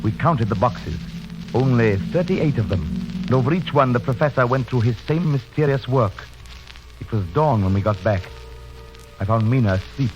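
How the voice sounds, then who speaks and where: A man's voice: medium pitch, animated, moderate pace, over music, lightly acted. An actor playing an earnest, determined young husband reading his journal in an old radio drama, in terse, dramatic phrases. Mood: weary, tense. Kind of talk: 1930s radio drama narration. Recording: muffled vintage radio recording.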